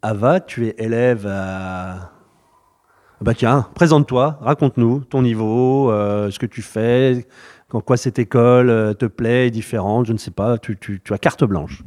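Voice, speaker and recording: A man's voice: mid-range voice, a little slow, a little mellow. A man interviewing students at a school, talking loosely and hesitantly with many fillers and restarts. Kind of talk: informal interview.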